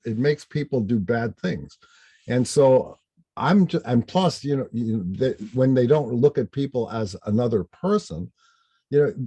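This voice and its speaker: A man's voice: medium pitch, warm tone, husky, dry recording. An older man chatting casually as an interview guest, emphatic and opinionated in the way he talks. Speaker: podcast guest, astrologer and author.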